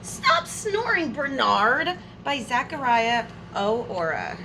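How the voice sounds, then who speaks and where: Woman storytime host, slow pace, expressive drawn-out words, talking to little kids, noisy background. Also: on the high side. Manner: expressive, drawn-out words, addressing listeners as friends. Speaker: storytime host reading a picture book aloud to young children. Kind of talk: animated read-aloud with asides to young children.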